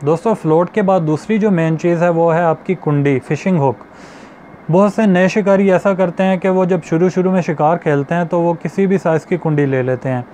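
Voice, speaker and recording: A man's voice: fairly high pitch, a little fast, mellow, a little hiss or hum. A young man hosting a fishing channel, explaining step by step to camera and repeating his points, recorded on a lapel mic in a small indoor studio. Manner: explanatory, repeats points, addresses viewers as friends. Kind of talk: instructional talk to camera.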